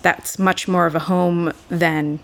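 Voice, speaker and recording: A woman's voice: lowish voice, fairly even intonation, slow pace, creaky. A young woman from Boston, a podcast guest, talking in a thoughtful and earnest way. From Boston, USA. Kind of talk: casual podcast conversation.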